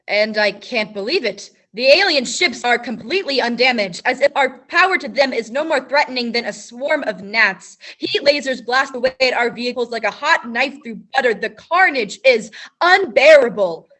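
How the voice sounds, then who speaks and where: A woman's voice: fairly high pitch, lively intonation, normal speed. Teen girl as a grandiose war radio reporter. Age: teens. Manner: grandiose broadcaster narration, rising to shouts. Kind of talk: high school stage radio play.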